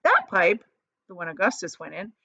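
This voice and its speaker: A woman's voice: on the low side, very animated, normal speed, creaky, pushed and bright, slightly rough, no echo, highs cut off, full character voice. A woman reading a storybook and voicing an eccentric male chocolate maker, airily dismissive, theatrical and flippantly soothing.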